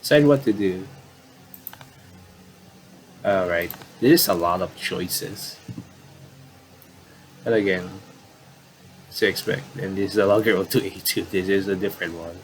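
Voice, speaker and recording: A man's voice: low voice, a little slow, pauses often, a little hiss or hum, poor audio. A man giving casual let's play commentary and reading game text aloud, stumbling and restarting as he reads. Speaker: gaming commentator.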